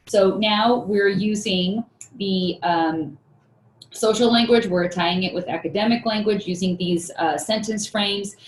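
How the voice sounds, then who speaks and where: A woman's voice: mid-range voice, measured pace, echoey room, poor audio. A woman presenting a teaching webinar in a conversational way, with many fillers and restarts.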